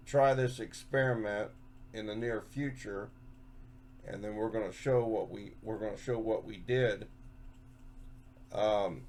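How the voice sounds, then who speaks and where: A man giving a casual, unscripted hands-on explanation as a hobby video host, halting and often repeating and rephrasing himself. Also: fairly low pitch, a little mellow, slight background noise.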